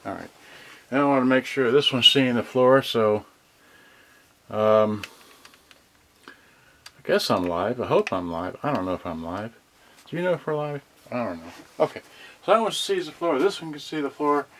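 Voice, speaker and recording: A man's voice: on the low side, vocal fry, a bit bright, slight background noise. A man chatting off the cuff as a livestream host, scattered and folksy, often muttering asides to himself. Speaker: host of a home unboxing video about cassette radios. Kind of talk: rambling unscripted livestream chat. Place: cramped indoor space.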